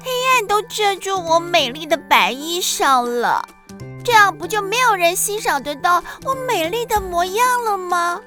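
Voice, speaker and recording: A woman's voice: very high voice, lively intonation, measured pace, sharp tone, faint music behind, heavily acted. A woman playing a vain, fussy lady cloud in a children's radio drama, affected and complaining. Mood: haughty.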